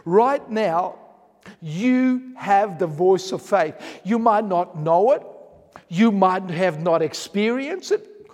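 A man's voice: sits high, animated, slow pace, a little mellow, in a large hall. An Australian man preaching a fervent sermon as pastor of his church. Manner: fervent, repetitive preaching cadence. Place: church hall.

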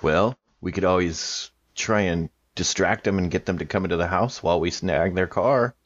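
A man's voice: deep voice, moderate pace, dry recording. A man playing a tabletop game in character, easygoing with many fillers. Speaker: player. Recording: slightly dull lo-fi audio.

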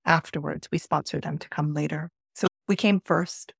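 A middle-aged Canadian woman, a native Spanish speaker, tells personal stories in an interview. Her voice has a noticeable vocal fry and sits close and dry on the recording. She phrases things in a measured, reflective way. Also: deep voice, steady tone, no echo. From northern Alberta, Canada, born in Peru. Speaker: podcast guest.

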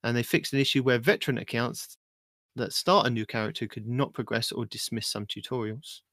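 A man's voice: medium pitch, fairly even intonation, a little fast, a bit bright, dry recording. Dry-voiced man reading patch notes. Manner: reads notes aloud with joking asides. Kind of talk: casual gaming podcast chat.

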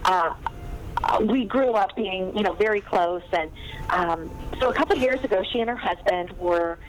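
A woman's voice: medium pitch, moderate pace, a little hiss or hum, low-quality recording. A woman novelist chatting as a podcast guest, enthusiastic and talkative, with frequent ums and easy laughter. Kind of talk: casual podcast interview chat.

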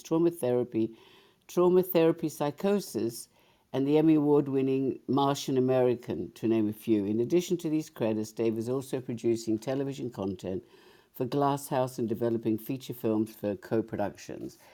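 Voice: mid-range voice, warm tone. An interview host talking in a friendly, chatty way, introducing a guest and asking questions. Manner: friendly, chatty, prone to laughing along. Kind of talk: hosting a casual interview.

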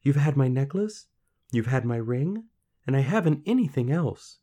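A man's voice: animated, moderate pace, natural voice. A man voicing an anxious young fairy-tale girl, plaintive and pleading in manner. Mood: distressed. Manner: plaintive, higher and louder than narration.

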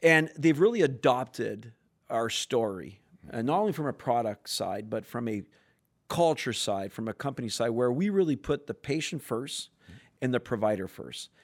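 A man of middle pitch answers podcast questions as a sales executive. He speaks earnestly and with enthusiasm, full of corporate phrasing and some filler words. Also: animated, normal speed.

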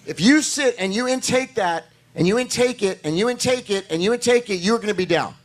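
A male pastor delivers a sermon with a hoarse voice that sits fairly high for a man. He preaches in church, pressing his points with rising, emphatic declarations. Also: a little fast.

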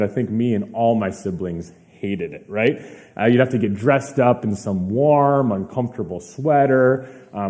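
Young male pastor, a bit hoarse, dark mellow voice, easygoing conversational sermon. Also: medium pitch. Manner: casual, chatty preaching with fillers and asides. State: slightly hoarse.

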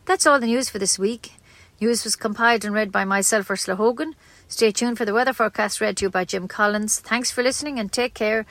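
A woman's voice: medium pitch, brisk, fairly bright tone, dry recording. An Irish woman reading a scripted local news bulletin as a community radio newsreader.

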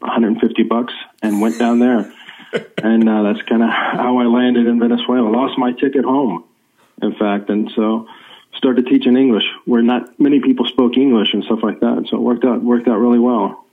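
An American man in his forties, a journalist guest, speaking in a rambling, halting way with many fillers. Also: medium pitch, fairly even intonation. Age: 40s. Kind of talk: remote interview. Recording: tinny phone line.

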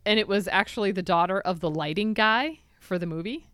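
A woman's voice: medium pitch, brisk, fairly bright tone, smooth, no room sound, studio quality. Chatty, giggly woman podcast co-host. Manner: chatty and giggly, telling trivia. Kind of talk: casual chat.

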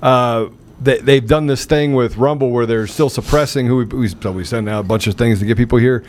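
A man hosting a casual political talk show, bantering in a mocking, excitable manner. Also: medium pitch, a little fast.

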